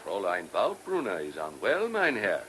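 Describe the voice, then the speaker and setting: An actor playing a discreet, stiffly polite Viennese head waiter in a radio play, with an Austrian German accent. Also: male voice, fairly low pitch, huge pitch swings, normal speed, fairly bright tone. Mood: polite, guarded. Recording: old radio drama with music behind.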